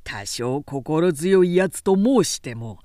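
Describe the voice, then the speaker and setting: A woman's voice: low voice, animated, takes it slowly, warm tone, no echo, studio quality, pitched below the performer's own voice. A woman doing a blustering, scornful samurai warrior. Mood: contemptuous. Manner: blustering, contemptuous, archaic speech.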